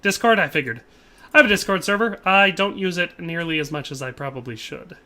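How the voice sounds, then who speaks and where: A jokey male game streamer chatting through live gameplay and reading out chat. His voice is high and bright, with a faint hiss underneath. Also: brisk. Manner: jokey, chatty, laughs often.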